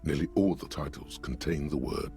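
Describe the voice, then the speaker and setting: A man giving measured, scripted narration for a video game. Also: extremely low pitch, warm tone, faint music behind.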